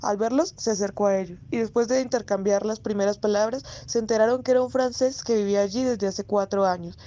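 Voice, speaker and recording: A woman's voice: medium pitch, steady tone, quick pace, mellow, close to an everyday voice. A woman playing a talkative, politely chiding boarding-house landlady in a radio play. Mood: polite, chatty. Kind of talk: radio theatre storytelling. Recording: dull, dry, close recording.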